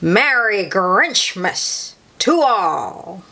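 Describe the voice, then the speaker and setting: Woman voicing a slow, scheming storybook Grinch. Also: mid-range voice, sing-song, gravelly, pushed and bright, exaggerated voice. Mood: softened, wondering. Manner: sneering, deliberate storybook delivery. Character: sly, grumpy male storybook Grinch creature, scheming.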